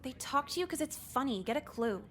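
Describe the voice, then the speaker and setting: Jaded, high-voiced sarcastic teen girl. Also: a little fast. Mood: scornful. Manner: deadpan, caustic, foul-mouthed. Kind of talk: visual novel game voice acting.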